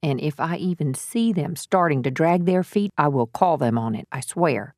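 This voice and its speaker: A woman playing an earnest defense lawyer in an audiobook, measured, soothing and reassuring. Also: deep voice, expressive, a little mellow, no room sound, clean studio sound. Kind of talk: dramatic audiobook character dialogue.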